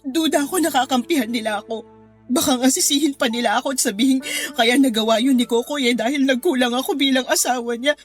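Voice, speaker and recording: A woman's voice: high voice, fast talker, natural voice. A woman acting an anguished, betrayed wife and mother in a radio drama, tearful and full of self-blame. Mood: resentful, dejected. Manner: tearful, emotional delivery. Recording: faint music bed underneath.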